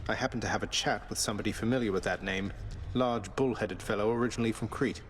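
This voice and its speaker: A man's voice: fairly low pitch, fairly even intonation, fast talker, a little hiss or hum, natural voice. A man playing a young rebellious underworld prince in a video game, wry, polite and kindly. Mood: wry, earnest. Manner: polite, dry, casual. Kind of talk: video game character dialogue.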